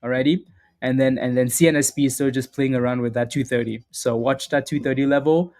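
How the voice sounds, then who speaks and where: A man hosting a live stock trading stream, chatting casually and upbeat, repeating phrases and calling out viewers by name, a little hoarse and clearing his throat now and then. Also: medium pitch, fairly even intonation, fairly quick, a little mellow. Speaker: stock trading livestream host. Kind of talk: live market commentary with chat shout-outs.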